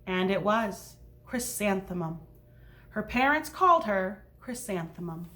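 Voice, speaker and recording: A woman's voice: medium pitch, expressive, a little slow, pushed and bright. A woman teacher reading a picture book aloud to young children, explaining things and checking in with questions. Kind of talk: read-aloud storytime with asides to children.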